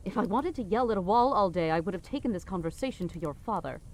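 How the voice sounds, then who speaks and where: A woman's voice: medium pitch, expressive, fast, darkish tone, a little hiss or hum, natural voice, low-quality recording. Woman playing a haughty, exasperated queen mother, swooping intonation. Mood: exasperated, cajoling. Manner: dismissive, cajoling, imperious, with exasperated sighs. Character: haughty, guilt-tripping middle-aged queen, mother of a rebellious princess. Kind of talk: audio drama podcast acting.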